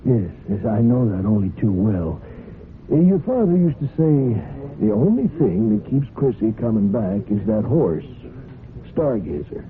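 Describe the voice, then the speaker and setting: Low-voiced fatherly old judge. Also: very animated. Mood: hesitant, courteous. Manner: avuncular, deliberate, admonishing. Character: older male country judge, paternal and gravely persistent. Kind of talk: vintage radio drama acting. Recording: muffled, noisy old radio broadcast recording.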